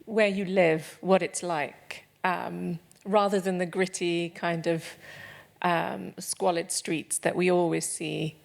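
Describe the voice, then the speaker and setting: Gravelly-voiced woman interviewer from London. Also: on the low side, fairly even intonation, slow pace. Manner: earnest, careful, hesitant with fillers. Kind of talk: live interview questions. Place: library event room.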